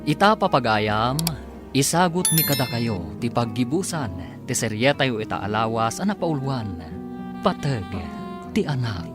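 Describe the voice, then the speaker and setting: A radio drama voice with a very lively, swinging delivery at a middle pitch. Guitar music plays clearly behind him. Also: male voice, a little slow. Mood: dramatic announcing. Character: man teasing his hardworking male buddy. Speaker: announcer and actor in a radio drama. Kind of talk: radio drama announcing and acting.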